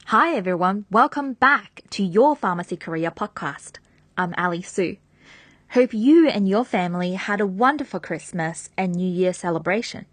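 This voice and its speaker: A woman's voice: mid-range voice, expressive, normal speed, a bit bright, no room sound. Woman, podcast host, upbeat, welcoming, dry close recording. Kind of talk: scripted podcast introduction and interview questions.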